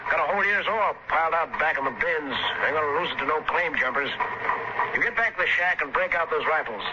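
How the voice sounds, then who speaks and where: A man with an American accent acting in a 1950s radio drama as a gruff, suspicious prospector father on Mars. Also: on the high side, fast, lightly acted. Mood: wary, urgent. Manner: gruff, folksy, barking orders. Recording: noisy, muffled 1950s radio recording.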